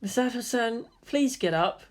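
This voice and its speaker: A woman voicing an earnest, odd teenage boy pilot with a dramatic, deliberate manner. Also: a little high, animated, pitched above the performer's own voice. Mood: polite, coaxing.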